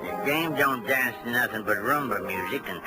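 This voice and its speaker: A man plays a seedy, thirsty underworld informant in a vintage radio detective drama. His slangy, halting talk swings widely in pitch. Music sits behind him on the old broadcast. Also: animated, natural voice. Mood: calculating. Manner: slangy, hesitant, wheezy chuckling and throat-clearing.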